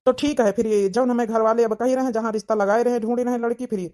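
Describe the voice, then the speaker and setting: A woman's voice: on the high side, fairly even intonation, fast, warm tone, natural voice. A woman playing a wounded, pressing young village suitor in a cartoon drama, pleading insistently with an Awadhi accent. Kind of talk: cartoon comedy-drama dialogue in village dialect.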